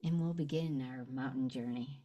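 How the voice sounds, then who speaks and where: A woman leading a guided meditation and visualization in a gentle, unhurried manner with long pauses. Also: extremely low pitch, highs cut off.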